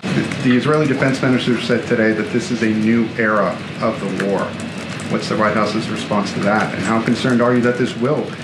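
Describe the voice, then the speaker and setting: A man's voice: fairly low pitch, steady tone, fairly quick. A man, a reporter, putting direct and pressing questions at a press conference. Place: press briefing room. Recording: echoey room, loud background noise.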